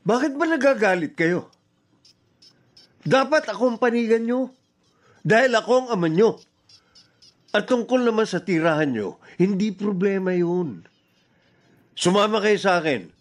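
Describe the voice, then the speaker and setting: A man's voice: high-pitched, animated, a little slow, pauses often. A man with a Filipino accent playing a selfish, scheming, defiant middle-aged father in a radio drama. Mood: defiant, irritated.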